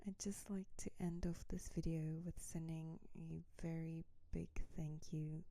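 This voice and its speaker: A woman speaks as a host in a whispery ASMR style, slow and soothing. Her voice is lowish, very dark and mellow, and flat in melody, recorded close and dry. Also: a little hiss or hum. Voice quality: whispery, breathy softness. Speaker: channel host. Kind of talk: soft ASMR outro narration.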